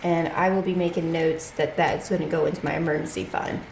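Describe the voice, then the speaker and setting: A woman's voice: low-pitched, little pitch movement, gravelly. Woman, congested, casual budgeting chat, roomy echo, faint noise behind. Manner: chatty, reads out figures slowly. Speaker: budgeting channel host.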